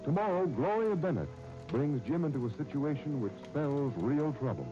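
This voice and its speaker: An American man announcing on 1930s radio, narrating and pitching the sponsor in a polished, folksy, persuasive way. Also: expressive, moderate pace, over music. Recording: muffled 1930s radio recording.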